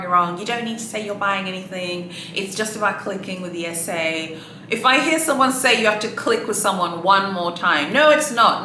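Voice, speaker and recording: A woman's voice: mid-range voice, reverberant, slight background noise, poor audio. A woman whose native language is Swahili, commenting in a sassy, exasperated way as a luxury shopping vlogger, full of "like" and "okay". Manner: sassy, exasperated, animated, frequent likes and okays. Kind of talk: casual commentary.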